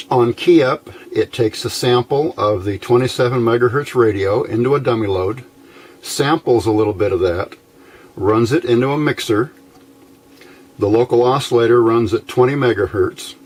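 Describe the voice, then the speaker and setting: An older American man giving an informal technical explanation, unhurried and folksy, pausing often between short phrases. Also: mid-range voice, a little mellow. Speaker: hobbyist radio builder, presenter.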